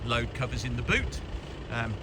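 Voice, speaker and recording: A man's voice: mid-range voice, lively intonation, normal speed. A man presenting a used car in an informal walk-around sales commentary, listing features in short clipped phrases. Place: outdoors at a car lot, moving around a vehicle. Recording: noisy outdoor recording with vehicle ambience.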